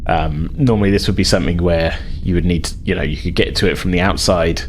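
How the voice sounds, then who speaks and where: A man's voice: deep voice, fast, slight background noise. A man acting as game master in a tabletop role-playing game, explaining things conversationally and thinking aloud as he goes.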